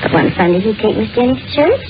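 A woman's voice: fairly high pitch, sing-song, fast talker. An actress playing a chatty, gossipy neighbor woman in a 1940s radio drama, talking in a folksy way. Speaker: Mrs. Graydon, a gossipy neighbor. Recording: dull old radio broadcast with music.

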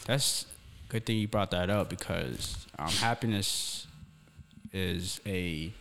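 A young man with a deep voice, originally from Somalia, talks earnestly on a casual podcast. He reflects philosophically and repeats words as he feels for a phrase. The sound carries a long, hall-like reverb. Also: takes it slowly, vocal fry, noisy background. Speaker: podcast co-host.

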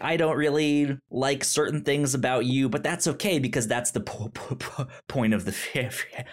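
Male podcast co-host, lower register, casual movie talk with stuttered, restarting phrases. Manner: halting, stammers and repeats word starts. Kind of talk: casual film discussion.

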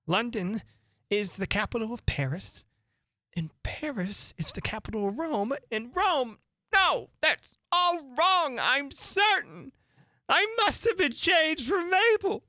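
A woman's voice: medium pitch, animated, moderate pace, no room sound, clean studio sound, voice pushed up, close to an everyday voice. A woman voicing a young girl who is curious, fretful and prim, talking to herself in wonder. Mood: puzzled.

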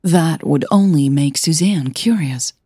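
A woman narrator reads scripted fiction slowly and deliberately. Her voice is very husky and slightly low. It is recorded close and dry. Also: dark tone, no echo. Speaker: podcast host and narrator.

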